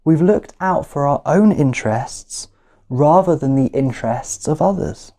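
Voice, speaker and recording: A man in his thirties preaching a sermon, deliberate and emphatic, repeating key phrases with measured pauses. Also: a little high, lively intonation, mellow. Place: small living room at home, indoors.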